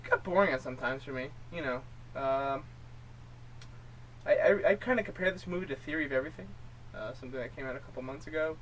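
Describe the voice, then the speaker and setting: A man's voice: on the high side, fast, a bit bright. A man co-hosting a film review show, chatting casually and explaining his opinions. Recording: distant mic, faint room noise.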